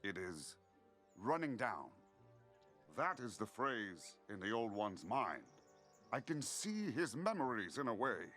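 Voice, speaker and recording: An actor voicing a brooding, shapeless void entity in a fantasy game, with a halting, theatrical, musing delivery. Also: male voice, lowish voice, sing-song, bright, forceful tone, exaggerated voice. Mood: eerie, musing. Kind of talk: scripted fantasy game dialogue. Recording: game audio over background music.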